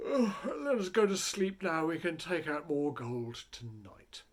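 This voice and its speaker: A man's voice: fairly high pitch, sing-song, a little slow. A man voicing a greedy, boastful chief of robbers in a fairy tale, commanding and emphatic.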